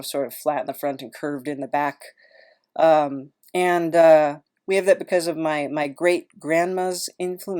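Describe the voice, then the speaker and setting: A woman's voice: low-pitched, a little mellow. A woman podcast host chatting in a casual, rambling, self-deprecating way.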